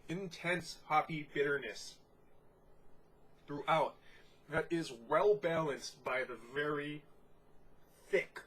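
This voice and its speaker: Husky, congested man reviewing beer. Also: on the high side, fairly bright tone, low-quality recording. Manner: descriptive, unhurried, many "you know" fillers. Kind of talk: casual tasting review.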